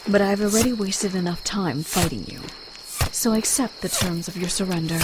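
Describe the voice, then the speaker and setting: An actress playing a proud Amazon warrior in a motion comic, haughty and deadpan with teasing wit. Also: female voice, mid-range voice, moderate pace, close to an everyday voice. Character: proud, dry-witted female Amazon warrior. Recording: noticeable background ambience and hiss.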